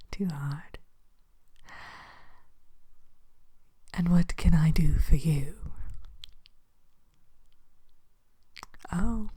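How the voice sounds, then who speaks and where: A woman playing a sly, courteous Evil Queen shopkeeper in an ASMR roleplay, speaking in a soft breathy whisper with a genteel, playfully sinister manner. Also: sits low, exaggerated voice. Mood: sly, welcoming. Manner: slow, genteel, playfully sinister, hesitant murmuring.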